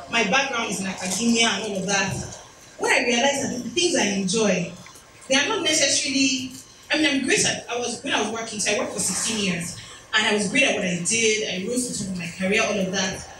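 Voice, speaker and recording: A woman in her thirties giving a motivational talk at an event, telling personal stories with chatty, self-deprecating asides. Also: fairly high pitch. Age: 30s. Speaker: speaker. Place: event hall. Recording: echoey room from far off, noisy.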